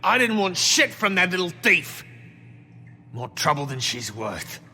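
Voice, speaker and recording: An actor playing a cocky, sarcastic thug under interrogation in a video game, with a mouthy, taunting manner. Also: male voice, high voice, big pitch swings, unhurried, sharp tone, exaggerated voice. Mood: defiant. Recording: long reverb, music underneath.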